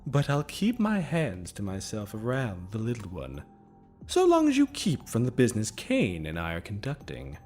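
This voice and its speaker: Casting: a teasing demon villain, slow and theatrical, swooping between silky flirtation and menace, over music. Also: male voice, on the low side, wildly swooping pitch, a little mellow. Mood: flirtatious. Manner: languid, teasing, drawn-out phrasing with pet names. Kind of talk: audio drama acting.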